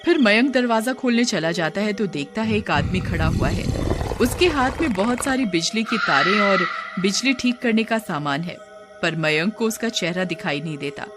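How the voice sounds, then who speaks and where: A woman's voice: medium pitch, animated, moderate pace, over loud music. A woman giving scripted narration for a scary cartoon story.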